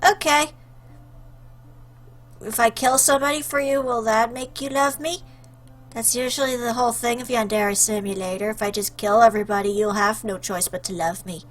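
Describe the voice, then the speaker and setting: Chatty lady playing a game out loud, kinda high voice, jokey casual commentary. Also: fairly even intonation, moderate pace. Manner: joking, chatty. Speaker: let's play host. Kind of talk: casual playful game commentary with read-aloud choices.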